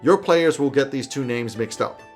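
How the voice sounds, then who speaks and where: A man in his thirties giving a conversational explainer to camera as a host, with an animated, casual, dryly humorous manner. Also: medium pitch, normal speed, faint music behind. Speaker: tabletop roleplaying game advice host. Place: small home office, indoors.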